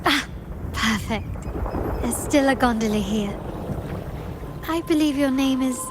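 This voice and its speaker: An actress playing a refined, enigmatic woman traveller in a video game, with polite, formal and deliberate speech. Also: high-pitched, background noise. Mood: courteous, knowing.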